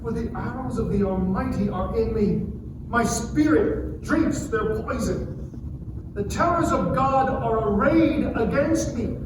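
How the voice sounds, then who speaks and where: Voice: medium pitch, slow, lightly acted. A performer in a dramatic scripture reading, playing an anguished man who pleads with God in solemn, impassioned phrases. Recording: distant, very echoey, noisy live room.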